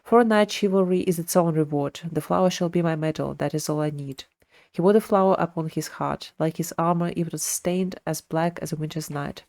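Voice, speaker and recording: Low-pitched woman streaming a game. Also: fairly quick, a little mellow. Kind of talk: live gameplay commentary with lore read aloud. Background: game music.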